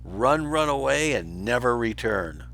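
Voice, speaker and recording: A menacing male lion villain from a children's storybook, acted out by a man reading aloud. He is sly and commanding, with forceful delivery and a pitch that moves dramatically. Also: very animated, unhurried, gravelly, a little hiss or hum, full character voice. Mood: menacing, accusing. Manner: sinister, commanding.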